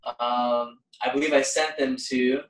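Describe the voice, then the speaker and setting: A man hosting a casual interview in halting, broken-up phrases, recorded in a room at some distance from the microphone. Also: medium pitch, fairly even intonation. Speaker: host, interviewer and singer. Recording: roomy, distant microphone pickup.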